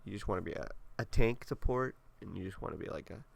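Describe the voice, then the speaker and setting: A man's voice: fairly low pitch, lively intonation, quick pace, vocal fry, no room sound. A young man with a congested, nasal voice, casually talking through his game setup with lots of fillers. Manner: laid-back, rambling, many fillers. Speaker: gaming video creator. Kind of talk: casual walkthrough commentary.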